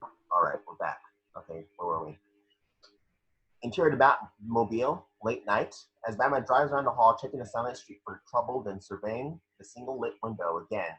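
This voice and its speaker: Male narrator, forceful edge, husky, scripted stage directions, dull lo-fi call recording. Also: mid-range voice, lively intonation, fairly quick. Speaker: scene description reader. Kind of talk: scripted narration at an online table read.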